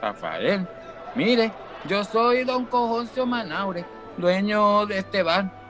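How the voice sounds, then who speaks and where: Guy doing a worn-out bar owner in a radio soap, high voice, slow and formal, bit of music. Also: sing-song, full character voice. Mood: wary, puzzled. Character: weary male bar owner, freed prisoner, formal. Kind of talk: radio drama acting.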